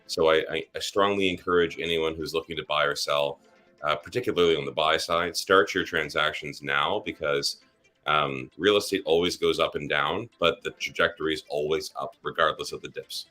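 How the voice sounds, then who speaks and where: A man's voice: very deep voice, moderate pace, bright, forceful tone, soft background music. A man who grew up in Germany, hosting a live stream as a realtor, chatting in a rambling way with many fillers. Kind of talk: casual livestream chat.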